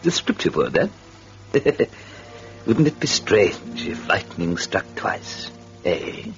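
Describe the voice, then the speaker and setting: A man's voice: sits low, very animated, takes it slowly, full character voice. A man playing a mocking, droll devilish storyteller in a radio horror drama, with chuckling sardonic asides. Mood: sly amusement. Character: gleefully sinister, mocking male devil storyteller. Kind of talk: theatrical radio narration. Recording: muffled old radio recording with music.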